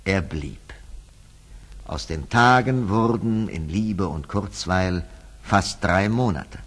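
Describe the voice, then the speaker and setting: A man narrating a scripted fairy tale as storyteller, measured and expressive, sometimes hushed, with a husky voice. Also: sits low.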